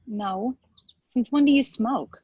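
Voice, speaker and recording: A woman playing a hurt, blunt lawyer speaking to an ex in a naturalistic screenplay table read, halting and emotional. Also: a little high, dull audio, background noise. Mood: firm, weary. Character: hurt, blunt ex-partner, a hard-working lawyer.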